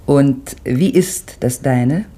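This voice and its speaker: An actress playing a calm, gentle mother, speaking in a soothing, measured way in a 1960s radio drama. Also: a bass voice, breathy edge, exaggerated voice. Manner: soothing, measured, quietly knowing.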